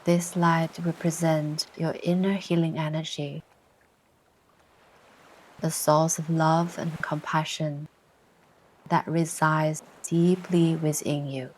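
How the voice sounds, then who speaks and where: Slow, low-voiced woman guiding meditation. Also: little pitch movement, slight background noise, rough recording. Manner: soothing, gentle, unhurried instruction with long pauses. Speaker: meditation guide. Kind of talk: guided meditation narration. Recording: ocean surf sounds behind the voice. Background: ocean waves ambience.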